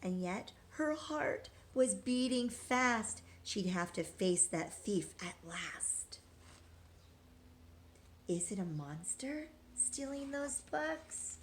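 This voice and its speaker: A woman teacher reading a rhyming picture book aloud to young children, gentle and engaging, pausing to ask questions. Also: medium pitch, lively intonation, slight background noise.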